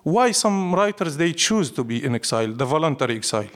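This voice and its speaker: A man's voice: fairly high pitch, very animated, brisk. A man from Syria with a Syrian Arabic accent, a native Arabic speaker, answering as a poet in a live interview with emphatic, declarative short phrases. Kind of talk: live interview, reflective storytelling.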